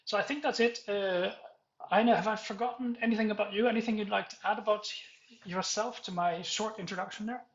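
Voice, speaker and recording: A man moderating a panel, introducing the guests in a halting, self-correcting and apologetic way. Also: high voice, steady tone, reverberant, dull audio.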